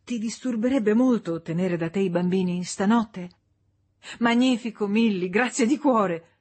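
A woman reading an audiobook voices a young wife being chatty and politely bright on the telephone. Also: mid-range voice, measured pace, slightly rough, pitched above the performer's own voice.

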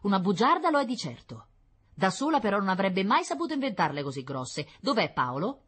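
Woman acting a brusque, husky scolding mother. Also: mid-range voice, big pitch swings, fairly quick, a bit bright, voice pushed up. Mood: sceptical. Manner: scolding, curt. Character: brusque, sceptical working-class mother, sharp-tongued and bossy.

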